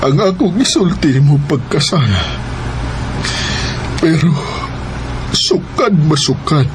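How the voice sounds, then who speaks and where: Ailing older father, radio drama: slow, weak, remorseful, Filipino accent, noticeable background noise. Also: on the high side, animated. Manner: halting, weak, apologetic.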